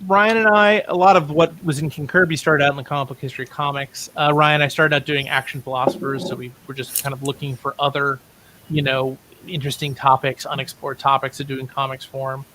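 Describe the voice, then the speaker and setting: A man's voice: mid-range voice, fairly even intonation, fairly quick, bright, forceful tone, low-quality recording. A man speaking as an interview guest, a comics writer casually plugging projects. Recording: faint background hiss.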